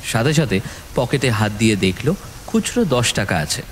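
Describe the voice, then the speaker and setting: A man's voice: mid-range voice, big pitch swings, moderate pace, a little hiss or hum. A man narrating a ghost story as a scripted audio drama, in a dramatic storytelling style. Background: background music.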